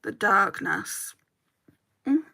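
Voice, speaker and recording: Woman, Lancashire accent, bright voice, slow easy pace, chatty makeup stream. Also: mid-range voice, sing-song, gravelly, slight background noise. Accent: Lancashire English. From Lancashire, England. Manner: chatty, answers chat comments while doing makeup. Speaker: beauty streamer. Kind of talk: casual makeup chat with viewers.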